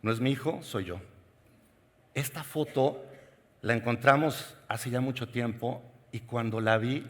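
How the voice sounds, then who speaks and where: Mexican man speaking in a reverberant hall. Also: mid-range voice. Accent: Mexican Spanish. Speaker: keynote speaker. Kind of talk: conference keynote talk. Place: large conference hall.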